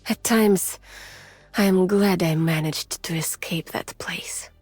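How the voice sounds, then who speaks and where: Slow breathy russian lady scientist, gentle and weary, game character, very close and whispery, music behind. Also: fairly low pitch, dry recording. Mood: haunted, somber. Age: adult, mature. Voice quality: soft, breathy, often near a whisper. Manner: measured, formal, restrained. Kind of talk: soft, intimate ASMR-like game voice acting.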